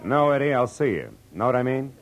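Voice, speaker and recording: An actor playing a wry, world-weary private detective narrating in a 1940s radio drama style. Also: male voice, mid-range voice, very animated, fairly quick. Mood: dry, businesslike. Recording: old radio recording with music.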